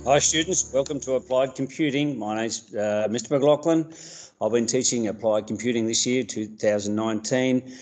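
A man teacher with an Australian accent presenting a course to students, halting often with ums and uhs. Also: medium pitch, darkish tone, slight background noise, low-quality recording. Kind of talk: recorded subject talk to students.

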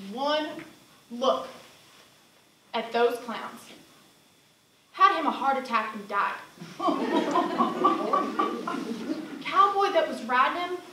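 A woman's voice: sits high, sharp tone, exaggerated voice. A young woman on stage playing a brash, fed-up rodeo cowgirl with a Southern US accent and a salty, folksy, emphatic manner. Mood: indignant storytelling. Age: young adult. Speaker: student actress performing a monologue. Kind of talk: theatrical stage monologue. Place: indoor theater stage. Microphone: far camera. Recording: distant, echoey theater recording with noise.

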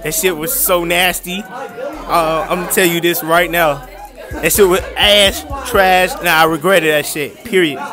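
A man's voice: sits high, fairly even intonation, unhurried. A young man answering a street interview in a crude, emphatic way. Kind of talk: casual answer. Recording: music and crowd, away from mic.

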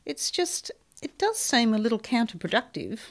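Woman doing a prim doubtful aide, high voice, swings up and down. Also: sing-song, normal speed. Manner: prim, sceptical.

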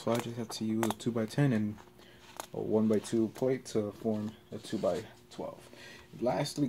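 A man giving a casual hands-on tutorial in halting, short step-by-step instructions. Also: mid-range voice, warm tone, slight background noise. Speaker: tutorial presenter. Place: carpeted floor in a room at home.

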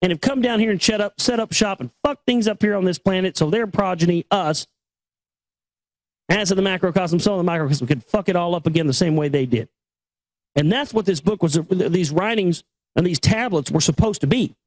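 A man's voice: sits high, brisk, dry recording, rough recording. A man hosting a book reading with his own blunt, emphatic, repetitive commentary, swearing freely.

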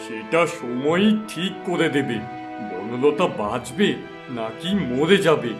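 A man playing a solemn, prophetic holy hermit in a fairytale cartoon, calm, deliberate and quietly vengeful. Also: mid-range voice. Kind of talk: Bengali fairytale cartoon acting. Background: instrumental music.

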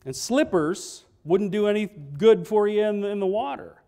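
A middle-aged male pastor with a notably high voice, preaching from a church pulpit. He keeps it conversational, putting questions to the congregation as he goes. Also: expressive, unhurried, dark tone. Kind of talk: sermon. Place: church sanctuary, standing at a pulpit. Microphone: pulpit microphone, filmed by a fixed camera.

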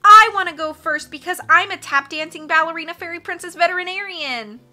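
Woman doing a high, whiny spoiled little girl. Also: animated, moderate pace, pushed and bright. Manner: whining, demanding.

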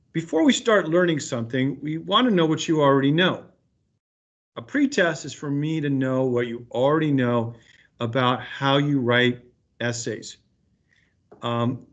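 A man teaching fifth grade, explaining an assignment to pupils in an informal, reassuring way over a video call. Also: mid-range voice. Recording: dull lo-fi video call audio.

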